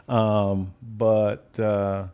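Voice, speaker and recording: A man, a podcast guest telling relaxed stories in casual chat and laughing often. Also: on the low side, extremely slow, clean tone. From Jamaica.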